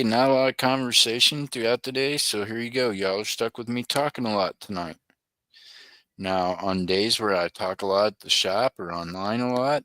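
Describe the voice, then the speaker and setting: A man's voice: lowish voice, sharp tone, no room sound. A middle-aged man hosting a live auction stream, chatting and joking with viewers by name. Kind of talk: casual livestream chat.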